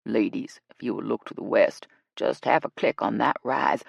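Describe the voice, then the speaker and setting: A woman voicing an earnest, stern male wilderness guide in an audiobook, raspy, firm and formal. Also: a bass voice, normal speed, no room sound, studio quality, pitched below the performer's own voice, heavily acted. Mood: solemn, commanding. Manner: firm, formal, self-serious. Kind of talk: audiobook character acting.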